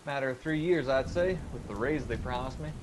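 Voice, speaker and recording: An actor playing a cheerful, cocky young son in a radio drama, breezy and bantering. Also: male voice, mid-range voice, brisk, background noise, lightly acted.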